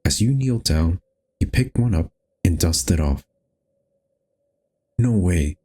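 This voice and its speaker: A man with a husky voice reading a visual novel aloud as a gaming host, moving between narration and character lines. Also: deep voice, expressive, slow pace, warm tone.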